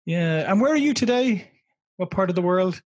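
A man's voice: high voice, animated, moderate pace, a little mellow, no room sound, muffled recording. A middle-aged man with an Irish accent, hosting a casual video interview and laughing easily, on a video call from a room with a logo backdrop. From Ireland. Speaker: interview host.

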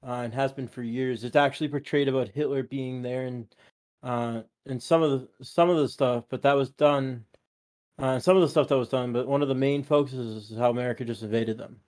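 A man in his late twenties talks loosely on a podcast, circling back on his own sentences with fillers as he argues his point. His pitch sits in the middle of the male range. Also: steady tone, fairly quick, darkish tone. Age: 20s. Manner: rambling, argumentative, many fillers. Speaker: podcast co-host. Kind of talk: casual chat.

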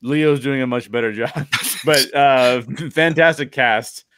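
Middle-aged American podcast host, dry close sound. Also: male voice, mid-range voice, slow, a bit bright, clear, resonant tone, no echo. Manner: enthusiastic, informal. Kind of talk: conversational podcast introduction and film discussion. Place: bedroom at home. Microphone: close podcast microphone with headphones.

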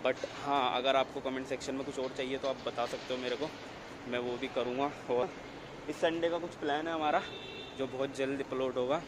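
A man's voice: mid-range voice, fairly even intonation, a little fast. A young man chatting casually for a vlog, slangy and mixing in English words. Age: young adult. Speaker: vlogger. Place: city pavement, mall and parking area. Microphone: phone at arm's length. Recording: noisy handheld recording, traffic and ambience.

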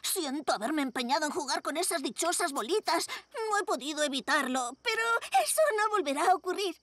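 A performer voicing an eager young boy superhero in a cat costume, with a Castilian Spanish accent, earnest and excitable in a children's cartoon dub. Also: sits high, fairly quick, no room sound. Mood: sorry, determined. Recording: loud music behind. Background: loud cartoon score.

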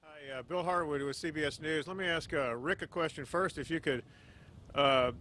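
A male reporter puts casual, conversational questions to the crew. His voice is slightly high and bright, with faint outdoor noise behind him. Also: normal speed. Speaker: news reporter asking questions. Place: outdoors among press.

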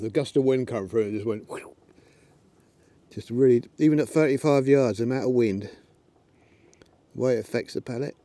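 A man's voice: mid-range voice, warm tone. A middle-aged man, chatting casually outdoors in a windy field while shooting an air rifle and talking to his dogs. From England. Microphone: camera on a tripod, then handheld.

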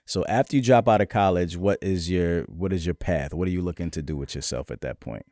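Deep mellow-voiced man hosting. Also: brisk, dry recording, clean studio sound. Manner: relaxed, affirming, probing questions. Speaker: podcast host. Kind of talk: interview questions. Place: warehouse studio set. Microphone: boom-mounted podcast mic.

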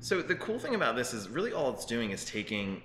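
A man's voice: medium pitch, very animated, fairly quick, a bit bright. A young man co-hosting a casual tech news chat in an informal, joking, slangy way. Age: young adult. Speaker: co-host. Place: indoor open office with people working behind. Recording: roomy echo, some background noise.

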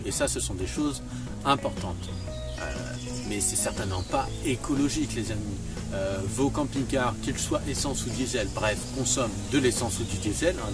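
A man's voice: mid-range voice, recorded from a distance. A young man talking to the camera outdoors beside a camper van, rambling with many fillers and insisting on his point. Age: young adult. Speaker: vlogger. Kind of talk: casual to-camera vlog. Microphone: camera a few feet away.

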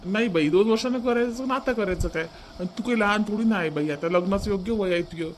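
A man's voice: high-pitched, fast, slight background noise, natural voice. A man voicing a middle-aged village father in a Marathi family cartoon, practical and persuasive, with a Varhadi accent and homely, questioning delivery. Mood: insistent. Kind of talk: comic family cartoon dubbing.

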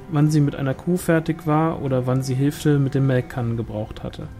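A man's voice: medium pitch, mellow. A man reading a novel aloud as scripted narration, in the manner of an audiobook. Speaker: audiobook reader.

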